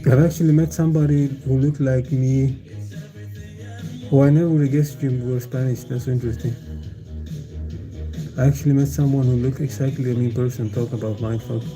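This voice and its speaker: Deep-toned, rambling male streamer. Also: little pitch movement, fairly quick, pauses often, mellow, soft background music, rough recording. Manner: meandering, hesitant, many fillers and restarts, chuckles often. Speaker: livestreamer. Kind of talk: casual rambling stream chat.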